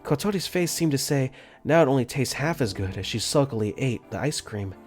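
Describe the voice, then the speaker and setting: A young man voicing an earnest, caring dorm caretaker for a fan dub, gently narrating his own thoughts in first person. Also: medium pitch, animated, brisk, close to an everyday voice. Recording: faint music under the voice.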